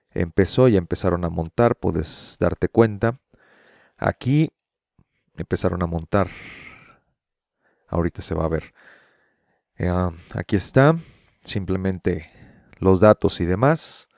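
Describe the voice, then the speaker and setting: A middle-aged man talks casually to his viewers as he explains what is on his screen. His voice is dark and relaxed, with a heavy creak. He is close to a studio microphone, with a dry, intimate sound. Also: fairly low pitch, dry recording. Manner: conversational, explanatory, addressing the viewer directly. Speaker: YouTube host. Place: small home room with shelves and framed pictures. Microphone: close studio condenser microphone on boom arm.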